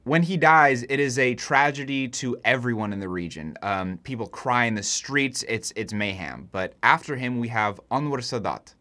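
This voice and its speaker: A man's voice: medium pitch, fairly bright tone. Casual chatty young man explaining history. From Egyptian heritage. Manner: chatty, self-deprecating asides. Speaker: history video creator.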